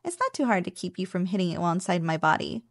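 A woman playing a playful, possessive but affectionate slime girl roommate in an ASMR roleplay, speaking softly and breathily with a teasing manner. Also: lowish voice, sing-song, dry recording, clean studio sound. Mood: caring, relaxed. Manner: playful, teasing, slow and savoring.

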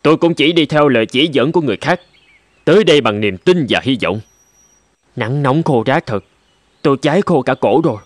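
A man's voice: medium pitch, expressive, fairly quick, no echo, lightly acted. A man playing a weary, grumbling gold prospector in cartoon dialogue. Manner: complaining.

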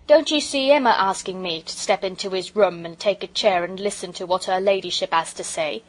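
A woman's voice: medium pitch, a little fast, slightly rough, natural voice, rough recording. A woman voicing a proud, sulky working-class English railway porter in a storybook, with colloquial speech and dropped aitches. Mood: indignant.

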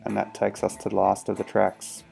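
A man's voice: lowish voice, completely flat delivery, normal speed, gravelly, a little mellow, faint music behind. A man casually narrating a hands-on electronics demo in a hesitant, understated way, thinking aloud. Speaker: electronics hobbyist presenter.

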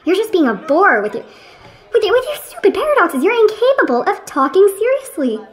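A pompous, irritable man acted by a woman in a radio play. The voice sits high and rises and falls sharply. The delivery is condescending and indignant, scolding a friend with fussy precision. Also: very animated, brisk. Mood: exasperated.

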